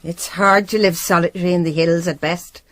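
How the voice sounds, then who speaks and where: A woman's voice: fairly low pitch, fairly even intonation, normal speed, dry recording, lightly acted. Woman acting a dry-sounding rustic farmer's wife. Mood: distressed. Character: rustic, devout farmer's wife in medieval England. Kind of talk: radio drama acting.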